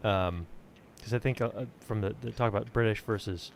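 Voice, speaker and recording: Podcast host guy, lowish voice, casual, kinda hesitant drawn-out phrasing, faint rumble. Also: vocal fry. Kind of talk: casual interview chat.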